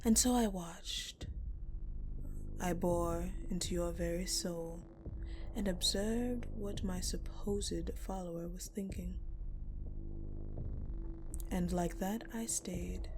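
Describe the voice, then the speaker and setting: A woman playing an ancient dark goddess in an audio roleplay, speaking to the listener in formal, grandiose, commanding words, ominous yet caring. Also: fairly low pitch, takes it slowly, long pauses, noisy background. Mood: ominous, stern. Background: background music.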